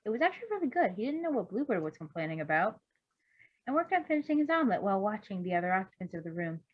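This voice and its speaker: A woman reads fiction aloud as an amateur storyteller. Her delivery is expressive and her pitch sits in the middle for a woman. The recording is dull and low in fidelity. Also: racing. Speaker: fan fiction reader.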